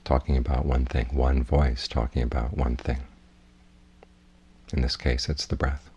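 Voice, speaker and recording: A man with a husky voice giving a guided meditation talk as a Buddhist teacher, in measured, plainspoken instruction. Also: extremely low pitch, level tone, darkish tone, a little hiss or hum.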